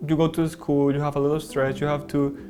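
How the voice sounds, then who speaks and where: A man's voice: on the high side, flat delivery, brisk, music in the background. A man from Spain, a panel guest, talking openly and reflectively about his own experience. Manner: open, reflective. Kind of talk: candid personal discussion.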